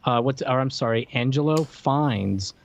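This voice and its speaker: A man's voice: mid-range voice, unhurried, vocal fry, lo-fi sound. A man co-hosting a casual film discussion, recounting a plot in a rambling, conversational way full of "like". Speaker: podcast co-host.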